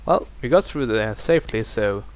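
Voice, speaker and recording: A man's voice: lowish voice, animated, normal speed. A young man with a Norwegian accent, casually commenting on a video game he plays, with dry, sarcastic asides. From Norway. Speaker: gameplay commentator. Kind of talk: casual let's-play commentary.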